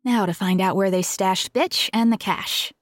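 A woman's voice: medium pitch, moderate pace, dry recording, clean studio sound, close to an everyday voice. A woman voicing a confident, sly female teammate with a wry, quipping manner in an audiobook. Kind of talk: audiobook character dialogue.

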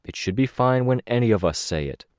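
A man reading an audiobook, voicing a calm young man who speaks softly and gently. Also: mid-range voice, brisk, smooth, no echo, clean studio sound, voice pushed up, lightly acted. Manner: soft, gentle.

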